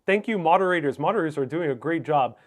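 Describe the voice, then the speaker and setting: A man with a slightly high voice hosts a casual livestream chat. He is a native Spanish speaker who thinks aloud, pausing and musing as he responds to viewers' comments. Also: lively intonation. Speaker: livestream host.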